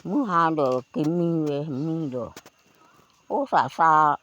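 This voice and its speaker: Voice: medium pitch, extremely slow, a bit bright, muffled recording. A person telling a folk tale aloud in a conversational way. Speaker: folk storyteller.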